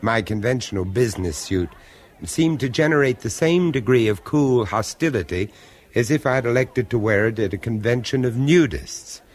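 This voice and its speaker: An actor playing an urbane, reserved and wry gentleman, measured and refined, in a 1970s radio horror drama. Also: medium pitch, animated, natural voice. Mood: wry, ominous storytelling. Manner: measured, refined, theatrical delivery.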